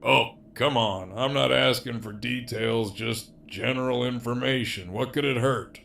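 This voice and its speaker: A heavily gravelly man's voice acting out a role as a cocky, teasing villain behind bars in an audio drama. He takes his time, drawling with sardonic bite, over faint background noise. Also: medium pitch, steady tone, measured pace, a bit bright.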